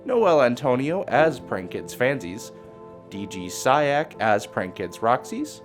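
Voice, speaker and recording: A man's voice: mid-range voice, big pitch swings, slow pace, over music. A man reading a scripted outro as the announcer of an audio series.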